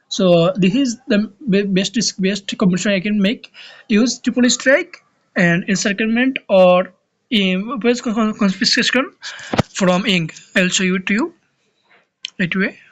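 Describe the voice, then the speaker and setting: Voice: mid-range voice, dull audio. A speaker from Bangladesh, a native Bengali speaker with a Bangladeshi accent, explaining a strategy game in a casual tutorial. They often restart words and search for terms. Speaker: game guide creator.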